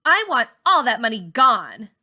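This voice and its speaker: Woman acting a loud, bossy schoolgirl bully. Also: fairly high pitch, sing-song, unhurried, muffled recording, pitched above the performer's own voice, exaggerated voice. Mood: angry, threatening. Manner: forceful, taunting, threatening. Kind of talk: dramatic audiobook character voice.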